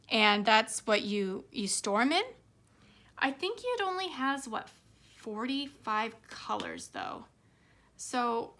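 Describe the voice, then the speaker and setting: Bright, higher-voiced woman chatting while crafting. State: slightly hoarse. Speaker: craft vlog host. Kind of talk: casual rambling chat to viewers while crafting.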